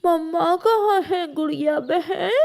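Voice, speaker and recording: A woman's voice: extremely high pitch, takes it slowly, vocal fry, darkish tone, full character voice. A woman voicing a sick, whiny little boy bird with a cough in a cartoon story, pleading weakly. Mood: pained, pleading.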